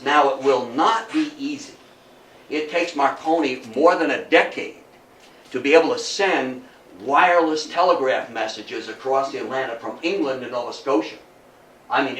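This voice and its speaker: A man giving a live history talk to a room as an animated, folksy storyteller, with emphatic repetition, rhetorical questions and asides. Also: high-pitched. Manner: folksy, emphatic, repeats phrases for effect. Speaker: history lecturer. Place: indoor room, talk before an audience. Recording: roomy, distant mic, faint background noise.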